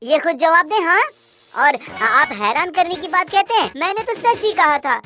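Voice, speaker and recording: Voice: very high voice, expressive, moderate pace, sharp tone, heavily acted. A performer voicing a crafty, cheeky village boy in a cartoon story, wheedling and mock-innocent. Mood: protesting. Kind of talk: exaggerated Hindi cartoon story acting. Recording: music behind the voice.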